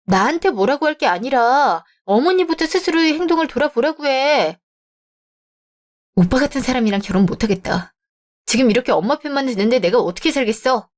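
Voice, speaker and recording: A woman voicing a composed young bride-to-be in a radio drama, speaking calmly and firmly. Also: high voice, a little fast. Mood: upset. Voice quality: calm, firm.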